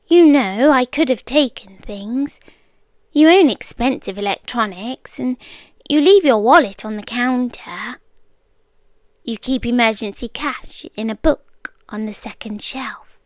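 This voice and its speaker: A British woman playing an obsessive, eerily calm stalker girlfriend in an intimate ASMR roleplay, speaking in measured, deliberate phrases that often slip into whispers, close to the mic. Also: on the high side, no room sound. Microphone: close dry microphone.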